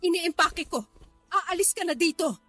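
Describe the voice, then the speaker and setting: A woman's voice: extremely high pitch, moderate pace, fairly bright tone, faint music behind. An actress playing a stern, desperate older mother-in-law in a radio drama, scolding and pleading tearfully. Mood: firm, urgent. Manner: scolding, imploring, tearful emphatic delivery. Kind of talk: Tagalog radio drama acting.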